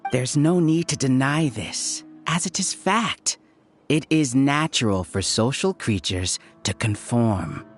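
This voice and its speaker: Smug calculating scholar villain, condescending, very expressive mid voice, video game w music behind. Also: male voice, mid-range voice. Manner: condescending, measured lecturing. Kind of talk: scripted video game acting.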